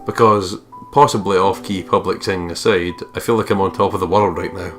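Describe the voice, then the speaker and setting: A man with a fairly low voice commentates on a game casually. He reads the narration aloud and slips into sweary, jokey asides and silly voices. Music plays faintly behind him. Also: fairly even intonation, fairly quick, a bit bright. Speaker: let's play host.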